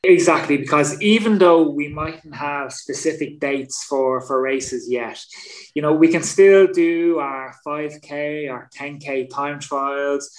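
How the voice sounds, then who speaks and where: Male podcast host, chatty and casual, slightly high-pitched, stumbles and repeats a little. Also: slow pace, low-quality recording. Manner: chatty, repeats words when thinking.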